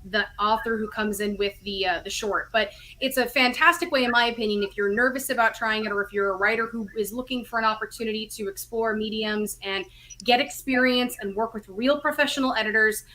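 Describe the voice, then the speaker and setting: A woman's voice: on the high side, sharp tone. A woman talking casually as an interview guest, explaining things in a chatty, enthusiastic way. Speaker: guest, audio drama writer and co-creator.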